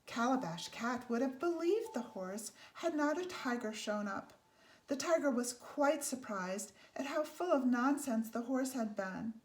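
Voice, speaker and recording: Higher-voiced woman reading a children's storybook. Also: expressive, unhurried. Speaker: storybook reader. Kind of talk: read-aloud children's picture book narration. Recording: faint background noise.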